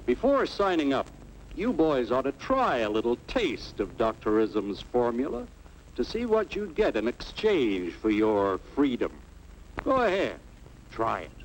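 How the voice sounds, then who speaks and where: A man's voice: mid-range voice, huge pitch swings, unhurried, a little hiss or hum, rough recording. A man playing a plain-spoken American everyman, narrating in a folksy, persuasive way for a 1950s educational cartoon. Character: plain-spoken, level-headed everyman citizen, folksy storyteller. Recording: vintage film soundtrack, music behind.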